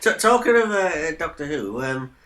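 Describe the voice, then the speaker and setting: A man's voice: on the high side, very animated, normal speed, a bit bright. A man chiming in during a casual podcast conversation. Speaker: podcast panellist. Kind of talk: casual chat, interjecting.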